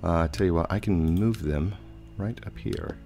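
A low-pitched man in his thirties talks through a video game, chatty and meandering with plenty of ums. His voice is a bit hoarse and stuffy from a cold. He speaks into a headset mic at home, with soft music underneath. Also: normal speed. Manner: chatty, rambling, with frequent fillers. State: slightly hoarse with a head cold. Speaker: gaming channel host. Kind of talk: casual let's play commentary.

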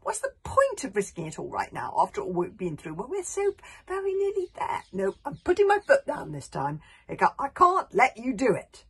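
A woman voicing an excitable, fretful young Viking boy who talks with exasperated insistence. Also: high-pitched, a little fast, pitched above the performer's own voice, heavily acted. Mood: alarmed.

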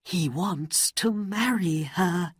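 A woman's voice: fairly low pitch, slow pace, breathy edge, dry recording, studio quality, voice pushed up. A woman voicing a worried poor mother who pleads earnestly for her son in a storybook reading. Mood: pleading.

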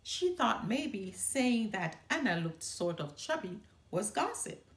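A middle-aged woman reading a children's story aloud with expressive narration in a living room. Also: medium pitch, slow. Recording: roomy indoor echo.

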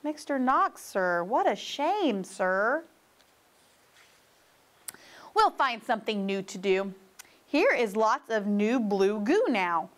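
A woman's voice: on the high side, very animated, takes it slowly. A woman voicing a playful, insistent male storybook fox who coaxes with tongue-twisting patter. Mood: eager.

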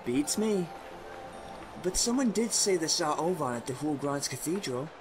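A man's voice: on the high side, lively intonation, normal speed, over music, close to an everyday voice. A man voicing a chatty anime gossip. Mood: gossipy. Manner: gossipy, casual. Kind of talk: anime fan dub.

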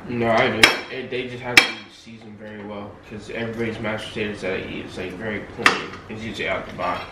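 A man's voice: on the low side, flat delivery, fast, very noisy. A young man at a family dinner, talking in a laid-back, rambling way. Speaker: family member. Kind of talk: casual family dinner chat. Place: dining room at home. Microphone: far camera across table. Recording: echoey room, distant mic, table clatter.

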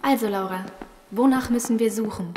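An actress playing a nervous, questioning survivor in a zombie audio drama, talking colloquially. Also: female voice, on the high side, a little fast, darkish tone, lightly acted. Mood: curious. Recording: long cavernous reverb, some noise.

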